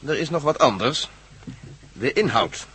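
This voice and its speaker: Husky, animated shrewd strategist. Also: male voice, mid-range voice, exaggerated voice. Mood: scheming. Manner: emphatic, deliberate, reasoning aloud. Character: shrewd, assertive strategist plotting against an enemy. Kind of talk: radio drama acting.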